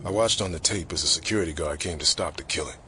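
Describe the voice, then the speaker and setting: A man's voice: deep voice, fast talker, heavy background noise, lightly acted. A man playing a grim, weary government fixer who tells his story in terse, hard-boiled narration for an audio drama.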